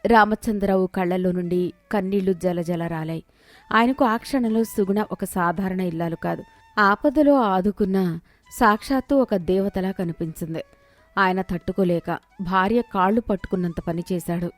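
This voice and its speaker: A woman's voice: medium pitch, moderate pace, faint music behind. A woman narrating a scripted moral story as its storyteller.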